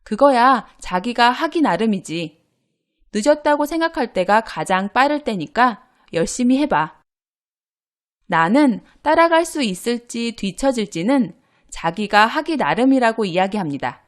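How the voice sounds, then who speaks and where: Lively, clear woman teaching a grammar lesson. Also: fairly high pitch. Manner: clear, patient explaining tone. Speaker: Korean language teacher.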